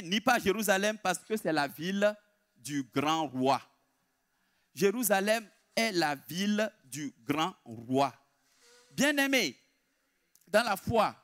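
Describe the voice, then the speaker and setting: High-pitched man preaching a sermon. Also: a bit bright. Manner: emphatic, deliberate, repeats key phrases.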